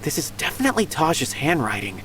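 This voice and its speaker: A man's voice: on the high side, huge pitch swings, fairly quick, crowd noise. A man voicing an earnest, cautious young man in a video game, speaking with some hesitancy. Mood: serious. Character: earnest, cautious young man helping investigate a friend's crime.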